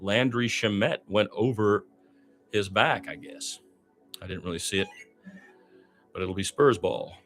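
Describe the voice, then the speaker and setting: A man's voice: fairly low pitch, unhurried, pauses often, a bit bright, faint music behind. A man doing live radio basketball play-by-play in a conversational, opinionated, exclamatory way.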